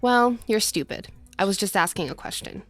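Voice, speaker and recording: A woman's voice: medium pitch, animated, normal speed, a bit bright, dry recording, faint music behind, natural voice. A woman playing a blunt, impatient, headstrong sixteen-year-old in an audio drama, snappy in manner. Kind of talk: casual audio drama dialogue.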